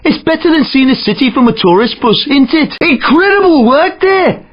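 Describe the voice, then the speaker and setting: An actor playing a laid-back British bloke, chatting casually and colloquially as a city driving guide in a racing video game. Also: male voice, very high voice, lively intonation, moderate pace. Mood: easygoing, encouraging. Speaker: game announcer commenting on races. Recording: slightly dull lo-fi game audio.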